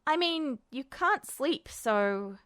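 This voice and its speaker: A woman voicing a wry, casual young man, a protective older brother, talking haltingly in a story reading. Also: high voice, lively intonation, fairly bright tone, no echo, studio quality, heavily acted. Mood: wry, amused.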